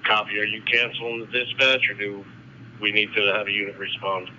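A low-voiced man, a fire officer, talks tersely over the radio with a very hard, forceful edge. The signal is lo-fi, with faint noise. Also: little pitch movement, fairly quick. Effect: radio transmission.

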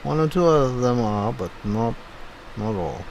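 A man with a Trinidadian accent giving a low-key, unenthusiastic running commentary while driving. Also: lively intonation, a little slow, a little mellow, slight background noise, low-quality recording. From Trinidad and Tobago. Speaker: driving vlogger. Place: inside a moving car.

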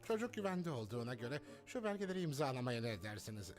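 A man's voice: mid-range voice, sing-song, fast, close to an everyday voice. A man voicing a greedy, haughty, scornful official in a dubbed children's cartoon. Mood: smug.

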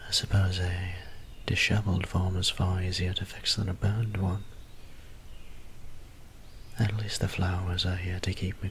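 A man playing a soft-spoken, melancholy vampire priest in an ASMR roleplay, musing in formal, old-fashioned words with a breathy near-whisper. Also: sits low, a little hiss or hum. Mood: wistful, musing. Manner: formal, archaic, musing diction.

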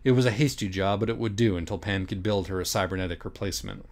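A low male voice narrates a comic audiobook. He delivers it with mock gravity and a theatrical, literary flourish. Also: fairly even intonation, quick pace. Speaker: audiobook reader. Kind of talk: dramatic, profane comic narration of a parody children's book.

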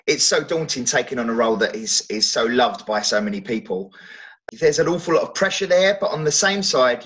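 A man in his thirties with a medium-pitched voice gives casual interview answers. He speaks a little haltingly, as an actor on a video call from home. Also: fairly bright tone, poor audio. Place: indoors at home.

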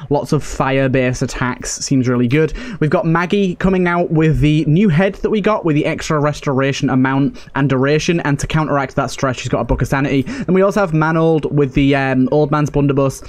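Higher-pitched man, casual gaming commentary. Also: a little mellow. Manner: chatty, thinking aloud. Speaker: gaming host. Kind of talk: casual let's play commentary.

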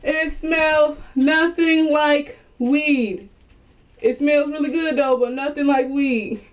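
A woman's voice: high voice, steady tone, slow. A woman, chatting animatedly to viewers in a casual haul video from a small bedroom, recorded on a webcam. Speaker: vlogger.

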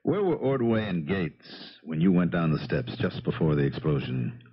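An actor playing a steady, probing police investigator in a 1970s radio drama. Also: male voice, low-pitched, moderate pace, slightly rough, exaggerated voice. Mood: probing, grave. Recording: dull vintage radio recording.

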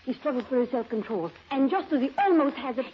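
An actress playing a sly, flirtatious, worldly former secretary with a condescending, theatrical manner in a 1930s radio drama. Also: female voice, high voice, animated, fast talker. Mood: sultry, teasing. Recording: muffled, noisy old 1930s radio recording.

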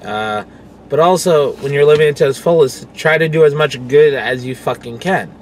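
A man's voice: on the high side, moderate pace. A young man talking casually to camera while he drives. He rambles candidly and swears freely. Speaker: vlogger and pro wrestler. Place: inside a car, driving. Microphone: phone camera on dashboard. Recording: car interior with road noise. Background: road and engine noise.

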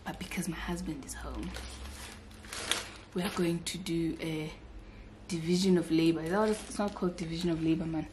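Young woman vlogging at home, kinda low voice, informal chat, noticeable background noise. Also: fairly even intonation, a little fast, a little mellow. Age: 20s. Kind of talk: casual vlog chat to camera. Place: living room of an apartment, indoors. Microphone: camera at arm's length. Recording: clearly noisy home recording.

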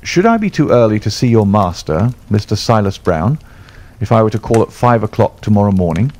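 A man voicing a confident, sharp-witted detective who speaks crisply and calmly. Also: fairly low pitch, fairly quick. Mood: assured calm.

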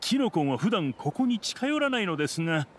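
A stern forest guard in a video game, with a high voice that swings widely in pitch. He is gruff and dutiful, barking at creatures but bowing to his goddess. Clear game noise sits behind him. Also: big pitch swings, fairly quick. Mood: deferential.

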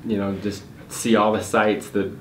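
A man's voice: fairly low pitch, little pitch movement, normal speed, fairly bright tone. A man in his thirties being interviewed in an office meeting room, talking casually with many fillers and restarts. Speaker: guest, real estate investor. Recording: echoey room, faint noise.

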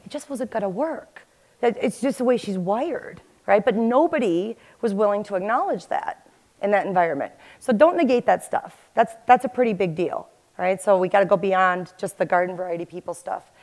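A woman in her forties, an agile coach, giving an animated conference talk in a large hall on a headset mic. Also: mid-range voice, normal speed. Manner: animated, gestures while talking to the audience. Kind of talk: conference presentation.

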